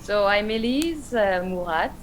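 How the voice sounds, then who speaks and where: Woman, thirties, French accent, Arabic and French native, halting delivery, interview guest, slight background noise. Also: medium pitch, lively intonation. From France. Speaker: guest, independent pop rock musician. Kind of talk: conversational interview answers.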